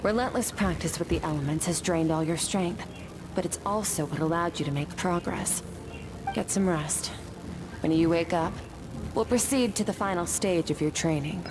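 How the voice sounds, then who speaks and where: A woman voicing a stern, composed master mentor in a video game, speaking in a measured, solemn, instructive way. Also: lowish voice, lightly acted, low-quality recording. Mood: calm approval. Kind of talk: scripted video game dialogue. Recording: game dialogue under music. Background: game music.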